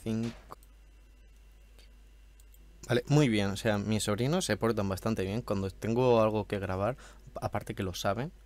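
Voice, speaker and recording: A man's voice: on the low side, a little slow, pauses often, darkish tone, no room sound. A man from with a slight cold, chatting casually and swearing while commentating a video game from home. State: has a cold, throat a bit hoarse. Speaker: gameplay commentator. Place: at home in a room.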